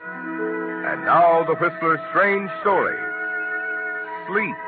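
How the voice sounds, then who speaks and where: A man's voice: fairly high pitch, drawn-out words. A man announcing a sponsored 1940s radio show in an emphatic, declamatory manner. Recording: tinny vintage 1940s radio recording, music behind.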